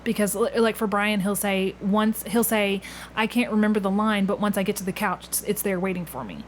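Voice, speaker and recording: Woman hosting a podcast, average pitch, thinking out loud casually, room noise behind her. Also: brisk. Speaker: podcast host. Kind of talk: casual conversational interviewing, thinking aloud. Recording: clear background noise with room ambience.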